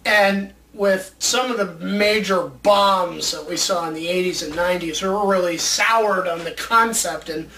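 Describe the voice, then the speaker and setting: Male co-host, high bright voice, enthusiastic rambling chat, distant mic with faint noise. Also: measured pace, hardly any pauses. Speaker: show host. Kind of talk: casual chat about movies.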